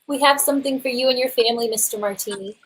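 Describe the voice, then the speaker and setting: A woman's voice: a little high, fast talker, breathy edge. A woman acting as a gracious, kindly young wife in a radio play. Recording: noisy home online-call audio.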